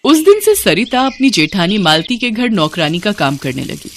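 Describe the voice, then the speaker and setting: A woman's voice: medium pitch, very animated, normal speed, slight background noise. A woman narrating a scripted moral story as a storyteller.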